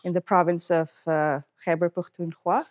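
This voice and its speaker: A woman's voice: on the low side, level tone, moderate pace, highs cut off, noisy background. A middle-aged woman from Pakistan, a dentist, telling her story in a casual interview while cooking, acting out what people said along the way. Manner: chatty, warm storytelling with quoted asides. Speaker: guest, dentist.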